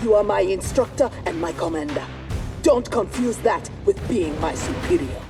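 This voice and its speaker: A hot-headed young warrior woman, low-ish pitch, indignant, music loud behind, game acting. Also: a little slow, distant mic. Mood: indignant, angry. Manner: combative, indignant. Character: angry, proud young female armored fighter. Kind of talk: dramatic video game cutscene acting.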